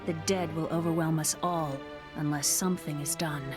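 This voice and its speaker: A woman performs a determined young survivor in a fantasy game. The voice is a little low, sincere and urgent, with clear music playing underneath. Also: fairly even intonation, normal speed, natural voice. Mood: determined, grave. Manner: earnest, urgent. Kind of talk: fantasy game character acting. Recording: music behind the voice.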